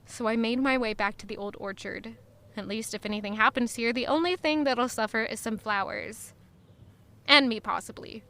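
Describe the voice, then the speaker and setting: Bright, high-voiced spirited young noblewoman, played by an actress. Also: moderate pace. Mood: wary, pondering. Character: spirited, guarded young noblewoman, lady-in-waiting on royal business. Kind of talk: audio drama dialogue and first-person narration.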